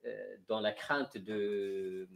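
A man from Morocco, a poet and translator, speaking slowly and haltingly with many restarts at a panel talk before an audience. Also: lowish voice, steady tone, creaky. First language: Moroccan Arabic. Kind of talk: panel discussion. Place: café venue with audience.

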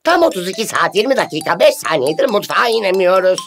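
A woman's voice: medium pitch, expressive, fast talker, a bit bright, slight background noise. A woman voicing an earnest, precise little male mouse in a children's cartoon. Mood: earnest, complaining.

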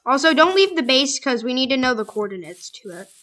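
Young girl gaming, high bright voice, gets loud and excited then mumbles to herself. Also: brisk. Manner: excitable, insistent, drops to murmurs while playing. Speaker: gamer. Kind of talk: casual let's play chat.

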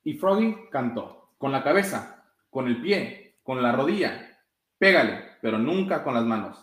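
A man's voice: medium pitch, lively intonation, a little slow, fairly bright tone. Young man reading aloud, echoey room. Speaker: soccer goalkeeper reading a children's book. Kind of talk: read-aloud storytime. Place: small room with blinds, indoors. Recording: roomy indoor webcam sound.

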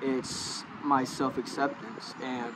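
A young man who stutters, with blocks and repeated sounds, talking to camera in a slow, hesitant way under a park pavilion, holding a small mic close. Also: mid-range voice, flat delivery. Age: young adult. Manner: slow, hesitant, many fillers. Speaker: vlogger. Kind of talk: personal talk to camera. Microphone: small handheld mic close to mouth. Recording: outdoor traffic noise.

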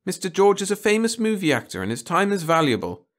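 A man's voice: high-pitched, sing-song, quick pace, fairly bright tone, pitched above the performer's own voice. A man voicing a strict, fussy, complacent woman schoolteacher in a storybook reading, scolding and primly authoritative. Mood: firm, prim. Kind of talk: storybook audiobook character voice.

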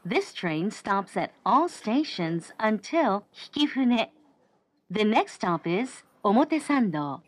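A woman's voice: medium pitch, sing-song, very slow, no echo. A woman reading scripted train announcements in a formal register.

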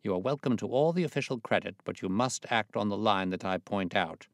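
Casting: man as assured gentleman detective for storybook reading, lowish creaky voice, articulate, authoritative, very dry sound. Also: expressive, brisk, no echo. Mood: commanding. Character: self-assured, commanding male gentleman detective.

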